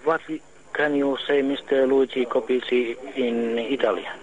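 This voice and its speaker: A male radio host interviewing a guest and asking his questions haltingly. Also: mid-range voice, steady tone, crowd noise. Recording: dull lo-fi sound.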